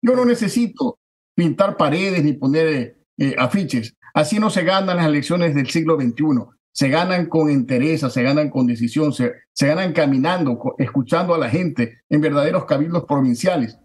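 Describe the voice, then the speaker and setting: A man, a political candidate giving forceful, declamatory campaign answers that stack repeated phrases for emphasis. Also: on the high side, normal speed. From Ecuador.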